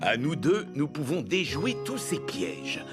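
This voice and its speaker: A calm old elephant king. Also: fairly high pitch. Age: older. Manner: calm, measured, principled. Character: wise, fair old elephant king, grandfather. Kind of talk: cartoon voice acting. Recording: cartoon soundtrack with music behind.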